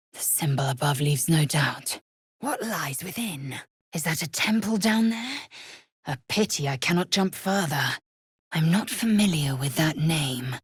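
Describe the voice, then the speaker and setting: A woman's voice: deep voice, slightly rough, no echo, studio quality, full character voice. A woman playing a proud, stern githyanki warrior in a video game, with clipped, imperious delivery and formal, archaic phrasing. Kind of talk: video game character voice acting.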